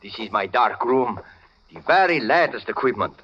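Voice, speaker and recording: An actor playing a polite, excitable Cuban shopkeeper with a Spanish accent in a 1940s radio drama, deferential in manner. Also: male voice, medium pitch, normal speed, natural voice. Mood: eager. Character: polite, excitable male Cuban photo shop keeper. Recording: dull vintage radio recording.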